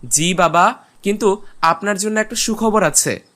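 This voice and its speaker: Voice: mid-range voice, measured pace, a bit bright, lightly acted. A performer playing a polite, earnest and eager village boy in a cartoon. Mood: excited. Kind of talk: cartoon voice acting.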